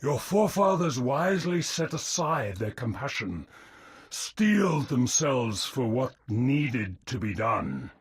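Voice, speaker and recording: Very raspy, pompous alien prophet. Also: male voice, medium pitch, very animated, slow, full character voice. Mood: cold, scornful. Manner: pompous, theatrical sermonizing. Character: zealous, imperious alien prophet, older male villain. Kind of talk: dramatic video game voice acting.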